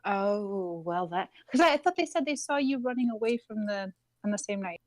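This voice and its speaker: A woman playing a tabletop game over a video call, chatting quickly and restarting mid-thought. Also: medium pitch, expressive, dry recording. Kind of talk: casual planning chat between players.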